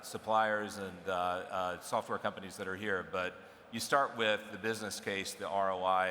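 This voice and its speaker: A man's voice: lowish voice, bright, forceful tone, slight background noise. A middle-aged man, a procurement executive on a conference panel, explaining in a conversational way with restarts and fillers. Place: conference stage in a large hall. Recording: echoey hall with faint ambience.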